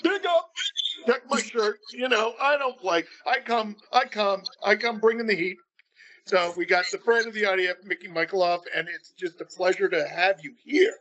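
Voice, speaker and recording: Podcast host: male, very high register, slangy and casual, muffled lo-fi audio. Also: fairly even intonation, moderate pace, pushed and bright. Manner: informal, slangy. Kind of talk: casual chat.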